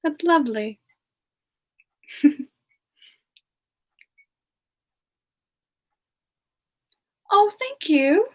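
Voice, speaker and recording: A woman voicing a gentle, friendly young siren demon in a semi-ASMR livestream chat, speaking softly and hesitantly with polite warmth toward listeners. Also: high-pitched, very animated, slow, heavily acted. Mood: shy, welcoming. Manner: soft-spoken, hesitant, polite, breaking into murmurs. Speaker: livestream host in character.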